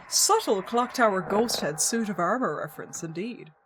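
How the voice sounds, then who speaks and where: A woman's voice: medium pitch, lively intonation, normal speed, background noise. A young woman streaming herself reading a spooky visual novel, reacting animatedly and squealing at scares.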